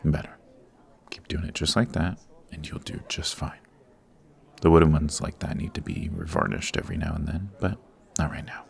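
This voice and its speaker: A man playing a suave, formal supervillain boss in an ASMR roleplay, patient and exacting, with measured, instructive speech. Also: rumbling low, big pitch swings, vocal fry, slightly rough, heavily acted. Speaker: voice actor.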